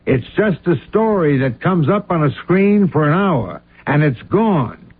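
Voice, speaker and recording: An actor playing a matter-of-fact confidant in a radio drama, speaking in a reasoning, persuasive manner. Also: male voice, a little high, very animated, a little slow, slightly rough, exaggerated voice. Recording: muffled narrow-band old radio sound.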